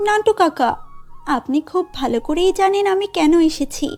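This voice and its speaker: A woman's voice: very high voice, expressive, moderate pace, soft background music. A woman voicing a polite, earnest young social worker in a children's cartoon, with a principled manner. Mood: pleading.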